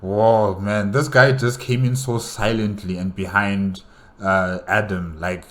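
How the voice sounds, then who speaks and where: A man's voice: on the low side, fairly even intonation, slow pace, fairly bright tone, soft background music. A man giving casual reaction commentary, thinking aloud in a hesitant way. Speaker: reaction video host.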